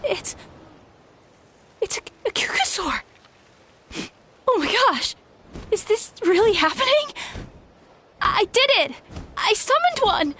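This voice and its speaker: A woman's voice: very high-pitched, big pitch swings, slow, long pauses, sharp tone, a little hiss or hum, heavily acted. A woman playing an eager, insecure young trainee flier in a video game, with an earnest, breathless delivery. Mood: amazed joy. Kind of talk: video game dialogue acting.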